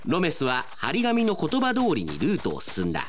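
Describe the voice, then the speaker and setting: A man's voice: fairly high pitch, huge pitch swings, brisk, slight background noise. A male announcer reading scripted narration for a radio drama.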